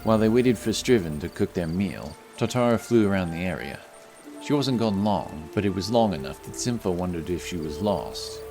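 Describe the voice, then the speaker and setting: A man's voice: low-pitched, expressive, normal speed, over music. A man reading scripted narration for a fantasy story as its narrator.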